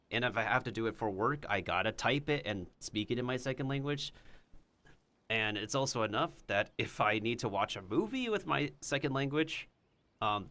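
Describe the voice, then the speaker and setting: A man's voice: medium pitch, pushed and bright. A man streaming a game, chatting and rambling with giggles, whose first language is Mandarin Chinese. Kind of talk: casual game-stream chat.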